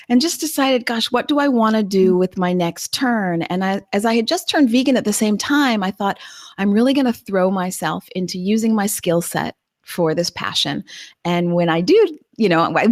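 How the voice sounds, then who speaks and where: A woman's voice: medium pitch, no echo, clean studio sound. A woman, a guest in an interview, telling her story with enthusiasm. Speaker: guest, journalist and business consultant. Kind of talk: conversational interview answers.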